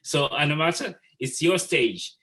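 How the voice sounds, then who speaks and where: A man's voice: a little high, lively intonation, measured pace, bright, forceful tone. A man hosting an informal group art discussion, speaking earnestly and affirmingly as he moderates. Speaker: host of an artist talk.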